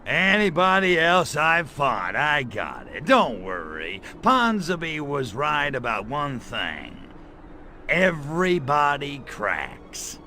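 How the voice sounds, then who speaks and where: A man's voice: a little high, huge pitch swings, slow, bright, forceful tone, slight background noise, exaggerated voice. A man playing a cocky, wisecracking alien invader in a comedic video game, sardonic and flippant like a tough guy. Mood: cocky swagger.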